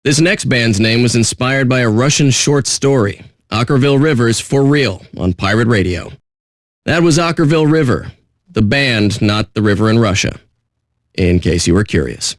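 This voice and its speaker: An actor playing a surly, sarcastic radio DJ in a video game, with deadpan, contemptuous patter. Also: male voice, medium pitch, normal speed, no echo, studio quality, close to an everyday voice. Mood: deadpan, smug.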